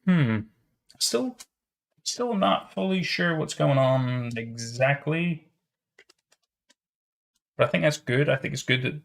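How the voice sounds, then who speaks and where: A man's voice: medium pitch, vocal fry, fairly bright tone. A man casually chatting and reacting as a livestreamer, musing aloud and often repeating his phrases. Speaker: streamer reacting to horror videos.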